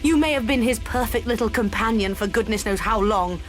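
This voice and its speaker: A woman's voice: on the high side, a little fast, soft background music, lightly acted, rough recording. An actress in an audio drama playing earnest, emotional young female companions who are identical doubles at odds. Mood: scornful.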